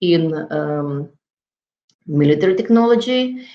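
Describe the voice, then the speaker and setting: A middle-aged woman with a Russian accent, giving a lecture over a video call from an office and often pausing to search for words. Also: sits low, lively intonation, warm tone. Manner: halting, searching for words with many fillers. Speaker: guest lecturer. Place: office with framed certificates on the wall. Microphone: laptop webcam on a video call. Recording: dull lo-fi video call audio.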